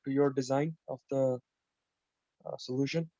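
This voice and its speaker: A man on an investor panel asking questions over a video call, halting as he searches for words. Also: medium pitch, fairly even intonation, warm tone, no echo. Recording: dry dull call audio.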